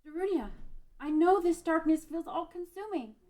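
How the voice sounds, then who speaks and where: A woman's voice: extremely high pitch, normal speed, mellow. A woman playing an earnest, tender-hearted young ranch girl, emotive, in an amateur fantasy drama read. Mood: encouraging. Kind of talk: amateur table read of a game-based drama.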